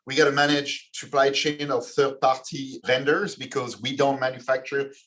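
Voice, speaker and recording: Guest, forties, man from Belgium, measured, restarts often. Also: mid-range voice, level tone, a bit bright. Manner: measured, with frequent restarts and fillers. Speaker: guest, finance executive. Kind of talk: podcast interview answers.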